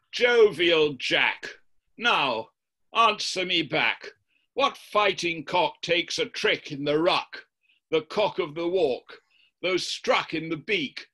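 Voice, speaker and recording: A man's voice: fairly high pitch, expressive, slow pace, pushed and bright, pitched above the performer's own voice, heavily acted. A man with a British accent voicing a sinister, boastful devil who taunts in rhythmic rhyming verse at a poetry reading. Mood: taunting. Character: sinister boastful devil, a male duelling poet.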